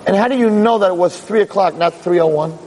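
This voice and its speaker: A man playing a cocky, theatrical high-priced defense lawyer in a courtroom story, firing needling rhetorical questions. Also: fast talker, a little mellow, natural voice. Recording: clear background noise.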